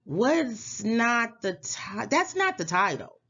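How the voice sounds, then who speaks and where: Woman voicing a hesitant, slow boat captain. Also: a little high, very animated, fairly bright tone, husky, highs cut off, pitched above the performer's own voice, heavily acted. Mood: puzzled.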